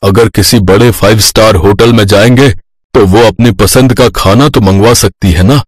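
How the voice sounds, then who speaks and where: A man voicing a demanding, deliberate middle-aged father-in-law in a cartoon family story. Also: lowish voice, breathy edge, dry recording. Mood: suggesting.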